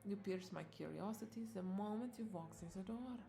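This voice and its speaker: Woman doing a seductive vampire bartender, teasing n breathy, bit of fry, intimate roleplay audio w faint music. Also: mid-range voice, moderate pace, dark tone, natural voice. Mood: flirty, teasing. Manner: flirtatious, teasing, breathy asides dropping into whispers.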